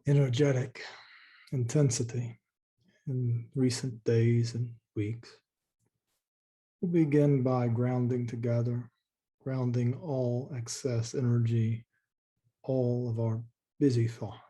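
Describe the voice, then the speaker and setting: A man leading a guided meditation in a soft, slow, calming way, with long pauses and repeated words. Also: mid-range voice.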